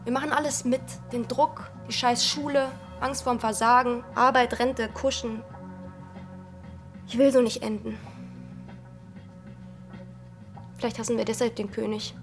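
A woman's voice: a little high, fairly even intonation, moderate pace, soft background music, lightly acted. A young actress playing a restless, brooding village girl in a radio drama, speaking in intense, fragmented phrases. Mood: bitter, desperate.

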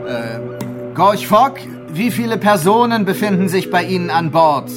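An actor playing a wary, businesslike coast station operator who talks in formal radio procedure in a radio drama. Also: male voice, sits high, animated, unhurried, music in the background. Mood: businesslike, guarded.

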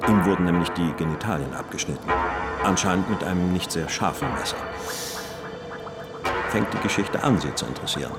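An actor playing a polite, measured police colonel, a persuasive new boss in a radio crime drama. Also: male voice, low voice, expressive. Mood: matter-of-fact. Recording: music behind the voice.

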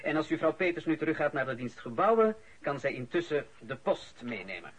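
An actor playing a stern, officious boss in a Dutch radio drama, formal, commanding and sarcastic. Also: male voice, fairly high pitch, big pitch swings, brisk. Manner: formal, commanding, clipped politeness.

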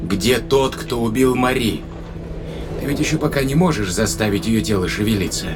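A man's voice: lowish voice, lively intonation, normal speed, over music, natural voice. A man playing a tense, distrustful astronaut in an audio drama, questioning tersely and accusingly. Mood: grim, accusing. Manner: terse, interrogating. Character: tense, distrustful spaceship astronaut confronting an alien.

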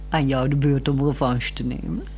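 Dry, teasing housewife in radio acting. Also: female voice, extremely low pitch, darkish tone, slight background noise, full character voice. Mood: quiet, teasing. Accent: Netherlands Dutch. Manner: dry, probing, pointed. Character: bored, frank housewife, teasing and probing. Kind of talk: 1960s radio drama acting.

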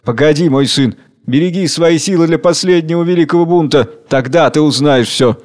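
A man's voice: on the high side, normal speed, darkish tone, lightly acted. A man acting a mighty, somber, fatherly tyrant spirit, declaiming grandly and with command.

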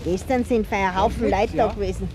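A woman's voice: medium pitch, fast. A woman with a Bavarian accent chatting casually in a colloquial, emphatic, gossipy way.

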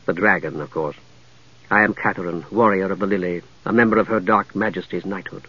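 A man's voice: low voice, fairly even intonation, brisk, pushed and bright, husky, highs cut off, voice pushed down, heavily acted. A man voicing a regal, knowing middle-aged female knight with cultured, composed diction in a fantasy audiobook. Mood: cool, knowing.

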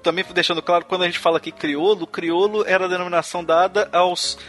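Higher-voiced man chatting on a podcast. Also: little pitch movement, fast talker, a bit bright, soft background music. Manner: hesitant, many restarts and tag questions. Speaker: podcast host. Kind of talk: casual conversational chat on music history.

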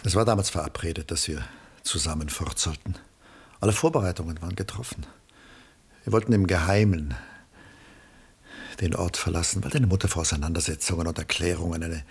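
An actor playing an ageing, reflective former lover in a radio drama, measured and confessional in his phrasing. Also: low voice, exaggerated voice. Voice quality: husky, breathy, gravelly. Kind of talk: 1960s German radio play acting.

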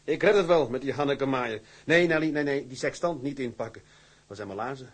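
An actor playing a young newlywed ship's mate who is boastful and stubborn, in a radio play. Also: male voice, mid-range voice, brisk, breathy edge, close to an everyday voice. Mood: brisk. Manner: animated, swings from hushed muttering to loud bluster.

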